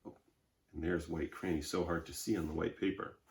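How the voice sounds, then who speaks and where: A man's voice: deep voice, fairly even intonation, brisk. A man, a teacher, reading a children's storybook aloud and adding simple explanatory asides. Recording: roomy, echoing indoor sound.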